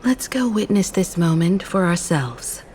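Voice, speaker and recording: A woman's voice: fairly low pitch, animated, unhurried, darkish tone, slight background noise. An actress playing a composed, mature woman traveler in a video game, poised and wryly observant.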